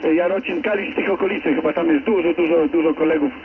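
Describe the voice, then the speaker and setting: A man's voice: on the high side, fast talker, over a noisy crowd. A man working as an amateur radio operator, making contact and chatting with call signs over the radio. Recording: dull, very noisy radio transmission.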